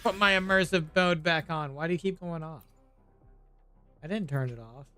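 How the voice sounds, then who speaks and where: A man's voice: a little high, normal speed, bright, forceful tone. A man chatting casually as he streams a video game, with a grumbling, profane way of talking. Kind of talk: casual gameplay chat. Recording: game music behind the voice. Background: video game music.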